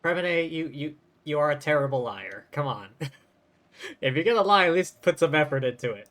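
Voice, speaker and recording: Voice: mid-range voice, expressive, fairly quick, a bit bright. A game streamer giving casual, teasing, wry live commentary while playing. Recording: game music behind the voice.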